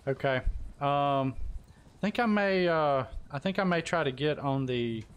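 A man's voice: a little high, a little slow, muffled recording, slight background noise. A man live streaming and talking casually through his gameplay with other players.

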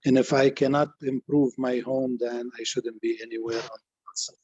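Husky, mellow man with an even delivery. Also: mid-range voice, normal speed, dry recording, dull audio. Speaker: city council candidate. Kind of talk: formal opening statement.

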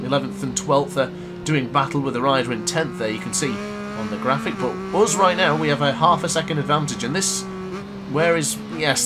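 A young man with a cold, brisk and congested, commentating a motorbike race in a game. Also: medium pitch. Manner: brisk commentator-style narration, self-correcting. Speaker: gaming commentator. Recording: loud game music behind.

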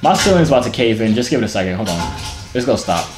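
A man's voice: lowish voice, very animated, fast talker, over music, low-quality recording. Teasing young man on a phone video chat.

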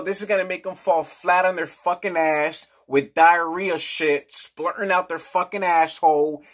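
A man in his forties giving a casual, crude and rambling rant in a small indoor office. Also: on the high side. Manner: brash, crude, slangy, rambling. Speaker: vlogger.

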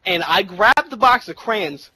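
A young man casually telling a crude, profane anecdote over game chat, drawing it out with emphatic pauses. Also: high-pitched, animated, pushed and bright. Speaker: storyteller among gamers. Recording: noisy game voice chat with clicks. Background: video game sounds and announcer.

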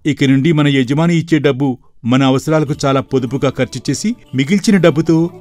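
A man voicing an earnest, excitable young village brother in an animated moral story, with emphatic delivery. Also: mid-range voice, fairly quick, no echo, close to an everyday voice. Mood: eager, earnest. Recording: voice over background music.

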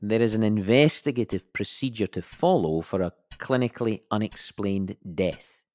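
An actor playing a measured, formal investigator of a suspicious death in an audio drama. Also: male voice, on the low side, a little mellow, dry recording, lightly acted. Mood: measured, official. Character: dry, officious male investigator of a suspicious death.

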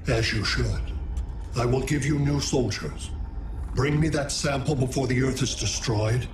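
An actor playing an imperious alien android conqueror in a video game, measured, commanding and detached. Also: male voice, mid-range voice, noisy background, close to an everyday voice. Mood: cold, commanding. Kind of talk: video game cinematic acting. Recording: echoing, distant, loud music behind.

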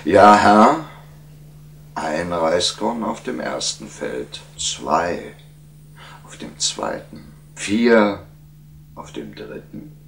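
A man plays a humble sage from a storybook legend. He speaks slowly and deliberately in a low, slightly gravelly voice, calm before his king. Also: a little hiss or hum, heavily acted. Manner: measured, deliberate. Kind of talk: storybook character acting.

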